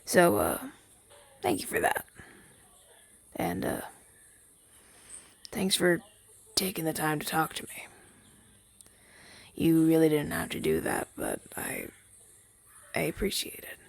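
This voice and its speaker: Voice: mid-range voice, big pitch swings, takes it slowly, vocal fry, long pauses, no echo, exaggerated voice. A man voicing a shy, earnest crow familiar in an ASMR roleplay, hesitant and rambling, with stammers. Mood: bashful, grateful.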